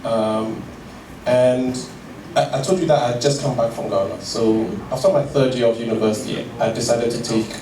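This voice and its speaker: A man's voice: mid-range voice, steady tone, normal speed. Hesitant man, frequent restarts, roomy echo, audible background noise. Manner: hesitant, many fillers and restarts. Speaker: guest, tech founder. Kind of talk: conversational interview. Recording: roomy, distant mic with clear background noise.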